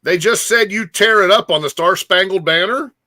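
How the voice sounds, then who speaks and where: Bright, higher-pitched male co-host. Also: moderate pace, no echo. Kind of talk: casual chat.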